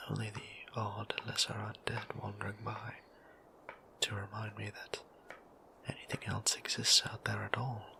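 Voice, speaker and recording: A man acts out a gentle male vampire priest for intimate roleplay audio, low and hushed, close to a whisper. He takes his time, rambling with bashful, old-fashioned courtesy, and his soft voice carries a lonely tenderness. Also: poor audio. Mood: wistful, sincere. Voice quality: soft, breathy near-whisper. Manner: hesitant, rambling, old-fashioned polite speech.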